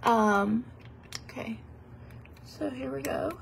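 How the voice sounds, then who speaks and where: A woman in her thirties with a slightly sniffly, gravelly voice rambles cheerfully through a get-ready-with-me chat, full of likes and ums. She talks into a phone held at arm's length in a quiet hotel room, with a faint room hum behind her. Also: fairly high pitch, steady tone, takes it slowly. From Tennessee, US. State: sniffly from a cold. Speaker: beauty vlogger. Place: fancy hotel room, indoors.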